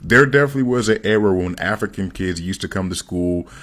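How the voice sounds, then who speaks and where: A man's voice: deep voice, fast talker, a bit bright. A man in a reaction video, talking bluntly with crude language. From United States. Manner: blunt, profane, conversational. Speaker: commentator. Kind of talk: direct-to-camera reaction video.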